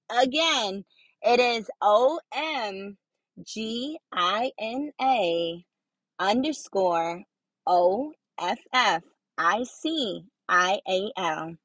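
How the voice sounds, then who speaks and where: Young woman w a high voice doing a solo pep-talk podcast, dry close mic, keeps repeating stuff to drive it home. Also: a bit bright, no echo, poor audio. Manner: emphatic, repeats phrases for encouragement. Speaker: podcast host. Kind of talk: casual motivational monologue.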